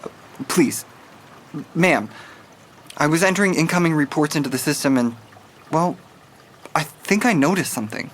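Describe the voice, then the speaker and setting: An actor playing a nervous young police rookie who speaks hesitantly and apologetically in an audio drama. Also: male voice, a little high, expressive, moderate pace, pauses often, a bit bright, slight background noise.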